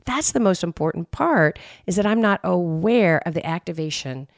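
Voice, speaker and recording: A woman co-hosting a podcast, with an intense, emphatic voice that rises when she gets animated. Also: on the low side, normal speed, dry recording, studio quality. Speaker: podcast co-host. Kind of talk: conversational podcast discussion.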